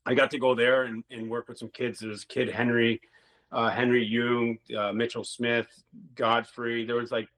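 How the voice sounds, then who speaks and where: A man's voice: medium pitch, a bit bright, poor audio. A middle-aged man, a former hockey player, telling rambling stories in a casual interview and swearing now and then.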